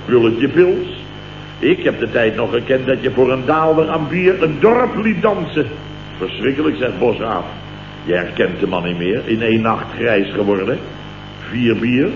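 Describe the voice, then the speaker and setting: A man's voice: medium pitch, animated, measured pace, phone-quality sound, close to an everyday voice. Indignant Dutch male boss played by a man, mock-dramatic and clipped, vintage radio comedy, heavy hiss and hum in a roomy recording. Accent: Dutch, from the Netherlands. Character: blustering, indignant male company boss telling tales. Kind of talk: 1960s-70s radio comedy acting.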